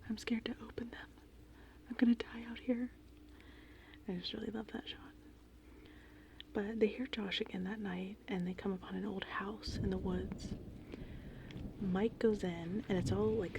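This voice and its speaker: A woman doing casual, meandering ASMR in a breathy, whispered and softly spoken voice. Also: mid-range voice, a little hiss or hum. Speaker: ASMR host. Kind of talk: ASMR rambling movie summary. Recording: steady rain and thunder ambience behind. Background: gentle rain and thunderstorm sounds.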